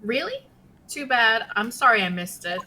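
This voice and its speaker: A woman's voice: a little high, animated, normal speed, noisy background, natural voice. A woman voicing a perky, teasing young female legal assistant in an amateur live game dub. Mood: curious. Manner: playful, teasing. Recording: music playing behind the voice.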